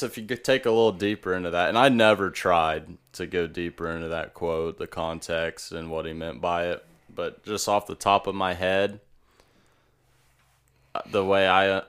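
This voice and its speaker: A young man co-hosting a casual podcast, thinking out loud in long, rambling explanations. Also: sits low, clean tone. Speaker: podcast co-host. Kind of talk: casual chat.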